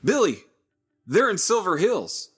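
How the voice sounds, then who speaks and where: A guy voicing a weary defiant ranger in a fan audio drama, bright husky voice that swings a lot. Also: high voice, very animated, unhurried, heavily acted. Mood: urgent.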